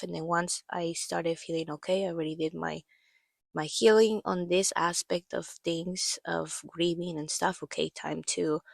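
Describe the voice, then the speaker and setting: A woman's voice: on the low side, creaky, dry recording. A woman in her thirties telling a personal story as a podcast host, rambling and hesitant with many fillers, her voice worn from allergies and coughing.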